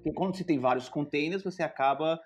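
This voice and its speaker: A man's voice: on the high side, slight background noise. A man with a Brazilian accent, co-hosting a casual tech podcast and laying out his opinions emphatically. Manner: opinionated, emphatic, quick bursts of listing. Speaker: podcast co-host.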